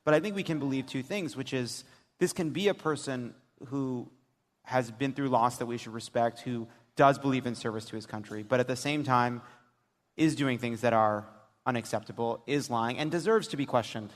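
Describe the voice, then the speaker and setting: A man's voice: mid-range voice, normal speed. An American man hosting a live political panel show, talking to an audience. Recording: echoey hall, live stage show.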